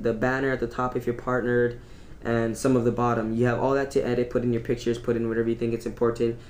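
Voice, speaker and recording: A teenage boy giving casual, rambling commentary over his own gameplay recording at home, full of fillers and quick to complain. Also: medium pitch, darkish tone. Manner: rambling, filler-heavy, easily irritated. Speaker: gaming YouTuber. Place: home room.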